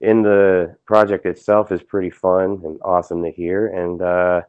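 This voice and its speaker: A man with a low, smooth voice gives casual interview answers in an earnest, hesitant manner. The sound is close and dry but slightly dull, like an online voice call. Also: flat delivery, normal speed, no room sound. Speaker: voice actor, interview guest.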